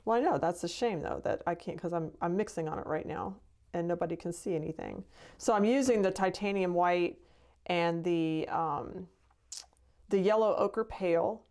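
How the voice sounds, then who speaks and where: A woman's voice: on the low side, moderate pace, darkish tone. A middle-aged woman painter hosting a casual live stream, talking in a chatty, rambling way. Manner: chatty, rambling, with laughs and fillers. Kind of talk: casual painting demo chat.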